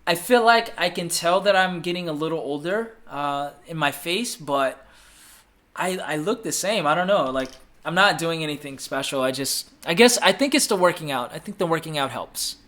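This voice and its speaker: Livestream host, man, 30s, upbeat and chatty, fairly high bright voice. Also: animated, normal speed. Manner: upbeat, chatty, reads comments aloud and repeats phrases. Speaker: livestream host answering viewer questions. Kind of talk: casual live Q&A chat.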